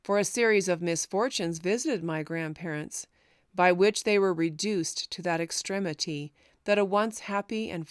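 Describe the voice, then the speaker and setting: A woman narrating a historical memoir as an audiobook, reading in a measured, formal way. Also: medium pitch, no room sound, studio quality.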